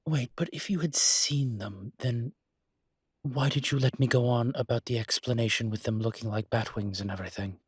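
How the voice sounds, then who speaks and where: Guy acting as a classy demon professor, husky and super dry close sound, halting, kinda irritated but patient, intimate audio rp. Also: medium pitch, no room sound. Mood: weary, irritated. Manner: hesitant, halting, genteel explanations. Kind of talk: intimate audio roleplay monologue.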